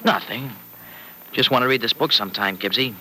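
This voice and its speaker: An actor playing a fretful, protective, sardonic uncle in a folksy, hesitant way, in old-time radio serial style. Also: male voice, medium pitch, fairly quick, sharp tone. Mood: irked, sardonic. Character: Uncle Freddy, gruff-hearted fretful family man, protective and sardonic. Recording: noisy vintage radio recording.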